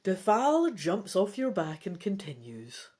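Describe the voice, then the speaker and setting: A woman narrates a fantasy adventure story with theatrical care. Her pace is slow and measured, and her pitch moves in lively, animated swings. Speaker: gamebook reader and player.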